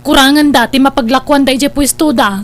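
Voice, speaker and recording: A woman plays a village elder sister with a high voice and an Ilocano accent. She is nagging and skeptical, quick to disapprove, in radio drama dialogue. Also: fairly even intonation. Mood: defensive.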